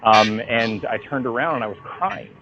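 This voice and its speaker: A man being interviewed by phone as a writer, talking in an informal, halting but emphatic storytelling way. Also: medium pitch. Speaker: interviewee, comic book writer. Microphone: phone call. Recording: dull lo-fi phone call.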